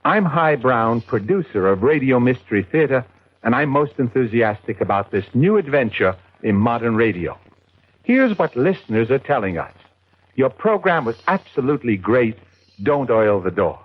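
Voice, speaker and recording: A man's voice: mid-range voice, sing-song, moderate pace. Radio producer, man, dull old broadcast. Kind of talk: scripted 1970s promotional announcement.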